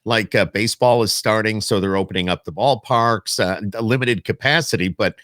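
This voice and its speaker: A man's voice: lowish voice, fairly quick, a bit bright, no room sound, studio quality. A man chatting casually as the host of a podcast interview.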